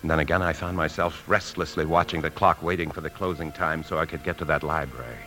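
An American actor playing a scheming, secretive married bank man in a 1950s radio drama, brooding and deliberate. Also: extremely low pitch, fairly even intonation, bright, forceful tone, soft background music. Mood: brooding, obsessed. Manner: brooding, deliberate, hesitant when lying. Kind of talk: 1950s radio drama acting and confessional narration. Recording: 1950s radio broadcast recording.

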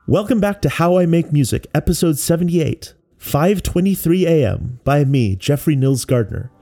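An American man explaining creative work in a measured, reflective narration, as a sound artist presenting their own work. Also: fairly high pitch, animated, warm tone. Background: music.

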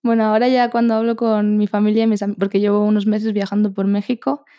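Mellow-voiced woman from Spain. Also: medium pitch, level tone, fast talker, clean tone, dry recording, studio quality. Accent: Spanish. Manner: soft-spoken, laughs often, frequent tag questions. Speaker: podcast guest, meditation podcaster. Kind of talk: casual interview chat.

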